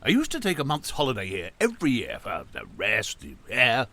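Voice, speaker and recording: An actor playing a fretful older uncle in an audio drama, complaining and animated, with a little stammer and faint recording noise. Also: male voice, mid-range voice, fairly quick, exaggerated voice. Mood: peevish. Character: fretful, grumbling older uncle, weary of country life. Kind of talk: naturalistic audio drama acting.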